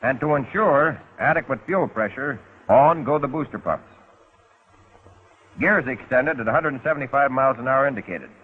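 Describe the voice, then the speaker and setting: A male American narrator delivers scripted 1940s military-style instruction through a thin, phone-like old film soundtrack. His voice is medium in pitch and rough around the edges. He explains step by step with a folksy, wry streak. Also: animated, normal speed.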